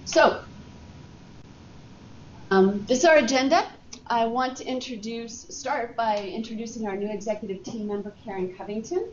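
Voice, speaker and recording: A woman's voice: fairly high pitch, moderate pace, long pauses, a little hiss or hum. A woman hosting a workplace communications workshop, chatty and upbeat, recorded from across a meeting room. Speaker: workshop host. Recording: far-off roomy lo-fi meeting recording.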